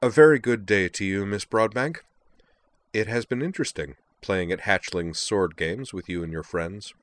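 A man voicing a courteous, proud lizard-like alien swordsman in a narrated story, speaking with formal, flowery politeness and calm, cutting wit. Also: fairly low pitch, moderate pace, dry recording, natural voice. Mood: coolly polite. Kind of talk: acted dialogue in a narrated story.

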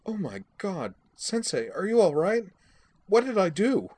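A man voicing a worried teenage girl student, pitched well up from his own voice. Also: sing-song, unhurried, a little mellow, breathy edge, full character voice. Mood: alarmed.

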